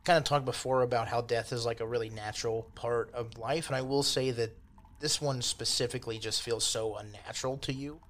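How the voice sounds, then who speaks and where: A man's voice: medium pitch, fairly even intonation, brisk, fairly bright tone. A man narrating casually as a game master, explaining things in an accommodating way over background noise. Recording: constant clear background noise.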